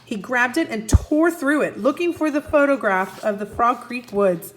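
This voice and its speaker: A woman with a fairly high voice reads a children's book aloud as a teacher would to a young class. Her delivery is expressive, and she steps in to recap and put questions to her listeners. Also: moderate pace, a little hiss or hum, low-quality recording. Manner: expressive, asks listeners questions and answers for them. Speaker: first grade teacher. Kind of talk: children's read-aloud, recapping and narrating a story.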